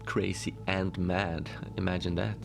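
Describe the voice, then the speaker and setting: A man playing a deadpan lone adventurer who tells his odd tales slowly and conversationally, rambling with halting asides, in a cozy horror audio drama. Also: low voice, vocal fry, background noise. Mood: deadpan, musing.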